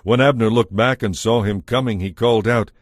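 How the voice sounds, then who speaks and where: A man's voice: lowish voice, a little fast, dry recording, clean studio sound. A man narrating a dramatized audio Bible as a scripted storyteller.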